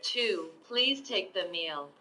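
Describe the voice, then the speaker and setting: Slow synthetic woman's announcer voice. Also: fairly low pitch, loud music behind the voice. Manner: flat, mechanical repeated announcements. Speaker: device voice announcement.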